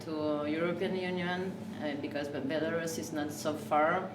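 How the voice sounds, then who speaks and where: Lowish-voiced woman hosting a café talk, english not her first language, lots of ums, far off mic, noisy. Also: fairly even intonation, normal speed, roomy sound. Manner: hesitant, many fillers and restarts. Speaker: café host.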